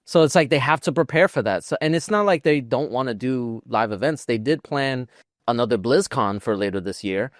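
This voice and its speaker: A man's voice: medium pitch, expressive, brisk, no echo, studio quality. A man co-hosting a casual gaming podcast, talking in a rambling, opinionated way peppered with "like". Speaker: podcast co-host.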